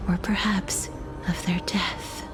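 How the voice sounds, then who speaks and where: A woman voicing a gentle, solemn young traveller in a video game, soft and breathy, speaking in a measured, reflective, formal way. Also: on the low side. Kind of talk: video game character acting. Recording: voice over prominent music.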